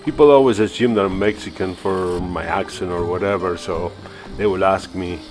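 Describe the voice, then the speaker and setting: A low-voiced man talks through his woodturning project in a loose, self-deprecating way. He leans on plenty of "uh" fillers between thoughts. Soft music plays faintly under his voice. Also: moderate pace. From Argentina. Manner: relaxed and self-mocking, with many uh fillers. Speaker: woodturning channel host. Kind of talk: casual voice-over narration.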